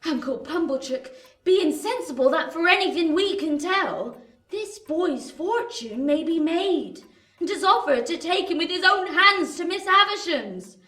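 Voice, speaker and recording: A woman playing a shrewish, domineering wife with a sharp, sarcastic, nagging manner in a radio play. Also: extremely high pitch, expressive, unhurried, echoey room, exaggerated voice. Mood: exasperated scolding. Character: shrewish, scolding, domineering working-class wife.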